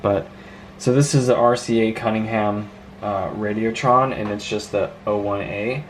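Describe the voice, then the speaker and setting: A man's voice: fairly low pitch, fairly even intonation, moderate pace, slight background noise. A man giving an informal, rambling hands-on show-and-tell as a hobbyist, with an enthusiastic, marveling manner. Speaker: vintage radio hobbyist presenting a video.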